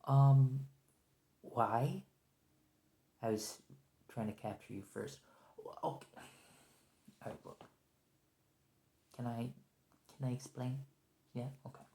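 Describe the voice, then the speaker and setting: A man playing a nervous, conciliatory special agent held captive, speaking hesitantly and softly in an ASMR roleplay with an echoing lo-fi sound. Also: medium pitch, vocal fry. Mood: cautious, puzzled. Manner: hesitant, halting, stammering, soft-spoken. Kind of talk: ASMR roleplay, one-sided point-of-view dialogue. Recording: roomy lo-fi echo.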